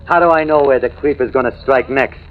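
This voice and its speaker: A man's voice: mid-range voice, a little fast, close to an everyday voice. A man playing a cocky, knowing American newspaperman in old-time radio drama, insistent and slangy in his talk. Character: cocky, hard-drinking newspaperman theorizing about a killer. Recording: tinny, noisy vintage radio recording.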